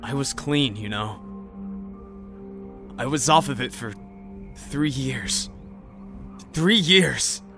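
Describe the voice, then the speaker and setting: An actor voices a bitter, guarded man surviving in an audio drama. The delivery is terse, deadpan and sardonic, in a medium-pitched male register. Music plays underneath. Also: slow, long pauses. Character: bitter, guarded, foul-mouthed male survivor, former addict.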